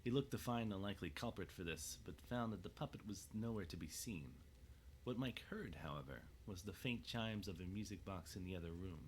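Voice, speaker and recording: Low-voiced male storyteller. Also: expressive, fairly quick, slight background noise, poor audio. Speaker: narrator. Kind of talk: scripted audio drama narration.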